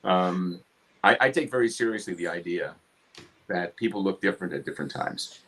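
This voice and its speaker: A man in his seventies from New York City with a New York accent, talking casually about his craft in his home studio, quick and succinct, hitting consonants hard. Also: deep voice, lively intonation, fairly bright tone. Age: 70s. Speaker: guest artist. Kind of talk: casual studio chat while drawing.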